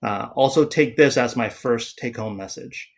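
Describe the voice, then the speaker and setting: A man in his forties from Taiwan, a psychiatrist speaking as a podcast guest, pausing often with fillers and restarts. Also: medium pitch, vocal fry, slightly rough. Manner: hesitant, many fillers and restarts. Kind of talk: podcast interview.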